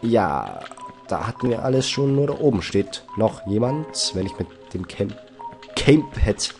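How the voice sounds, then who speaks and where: Brief: low, lively male let's player, wry and mocking, slight cold, soft game music. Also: unhurried. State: has a cold. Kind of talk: commenting while playing a game. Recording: faint game music behind.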